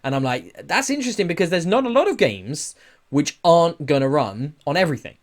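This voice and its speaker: A man's voice: fairly high pitch, sing-song, brisk. A British man hosting a podcast, chatting casually through gaming news. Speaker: podcast host.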